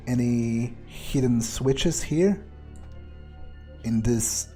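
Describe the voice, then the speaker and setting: Man role-playing a menacing male vampire, game monologue, unhurried, halting, soft dark tone. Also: mid-range voice, lightly acted. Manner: slow, halting, muttering to himself. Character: cold, predatory male vampire thinking aloud, casually menacing. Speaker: player role-playing a character. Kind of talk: in-character game playthrough monologue.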